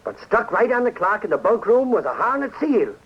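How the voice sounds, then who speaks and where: An actor playing a blustering, excitable middle-aged newspaperman in a 1940s radio drama. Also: sits high, fairly quick, slightly rough, exaggerated voice. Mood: opinionated. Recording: dull, lo-fi 1940s radio recording.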